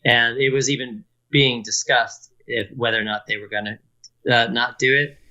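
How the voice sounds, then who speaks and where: A man's voice: medium pitch, fairly even intonation, moderate pace, pushed and bright, muffled recording. A man who is a musician and a guest, chatting casually and easygoing in an interview.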